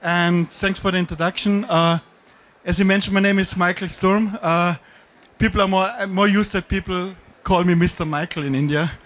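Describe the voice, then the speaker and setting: A man's voice: high voice, fairly even intonation, moderate pace, a bit bright. Joking Austrian man on a conference stage. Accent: Austrian German. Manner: self-deprecating, jokey, with slightly non-native phrasing. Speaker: conference speaker. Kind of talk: joking keynote talk. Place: dim conference stage with audience. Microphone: handheld mic.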